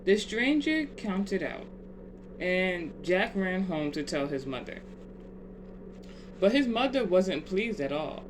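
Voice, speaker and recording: Slow, deliberate bedtime storyteller over background noise. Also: medium pitch. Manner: deliberate, measured phrasing. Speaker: storyteller reading aloud. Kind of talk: scripted children's bedtime story narration. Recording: clear steady background noise.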